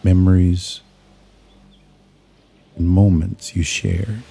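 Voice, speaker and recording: A man narrating a scripted guided meditation in a soothing, measured manner, leaving pauses between short phrases. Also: low voice, little pitch movement, gravelly, dark tone, slight background noise.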